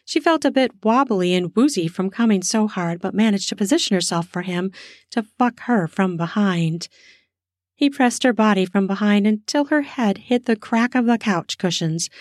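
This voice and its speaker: A woman narrating an erotic story in an unhurried, intimate reading. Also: medium pitch, no room sound, studio quality.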